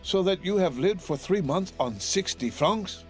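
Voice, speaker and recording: A man's voice: high voice, expressive, measured pace, faint music behind, pitched above the performer's own voice. A man voicing an earnest, devoted young sailor in a storybook, speaking with ardent courtesy. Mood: ardent, distressed.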